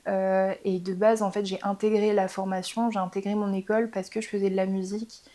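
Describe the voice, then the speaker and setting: Articulate young woman with a mellow voice. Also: medium pitch, level tone, brisk. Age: young adult. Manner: thoughtful, articulate, with frequent fillers. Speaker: singer in a band. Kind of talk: casual interview answers.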